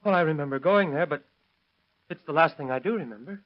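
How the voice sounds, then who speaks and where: An actor playing an agitated husband and murder suspect in a 1930s radio drama, on a muffled old recording. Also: male voice, a little high, quick pace, natural voice. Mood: pleading, confused.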